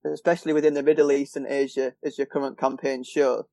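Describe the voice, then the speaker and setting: A man's voice: mid-range voice, flat delivery, quick pace, gravelly, warm tone, no room sound. A man hosting a fan podcast, steadily reading listener questions from a script. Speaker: podcast host.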